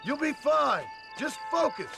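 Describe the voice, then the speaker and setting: An actor playing a gruff, sardonic but courteous cowboy in a western video game, dry and plain-spoken. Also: male voice, very high voice, sing-song, unhurried, music in the background, exaggerated voice. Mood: wry, brisk.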